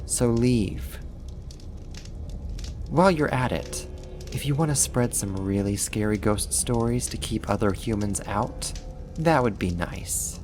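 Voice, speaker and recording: A voice actor playing a sadistic, haughty half-spider monster in an ASMR horror roleplay, talking slowly and condescendingly in a theatrical way. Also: mid-range voice, a little mellow, faint music behind, lightly acted. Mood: irritated, dismissive.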